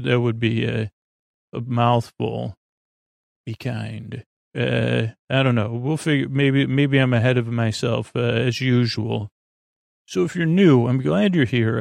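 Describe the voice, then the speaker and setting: A man's voice: medium pitch, creaky, darkish tone, dry recording, studio quality. A man hosting a sleep podcast, rambling through a meandering monologue full of restarts and tangents. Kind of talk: rambling late-night monologue.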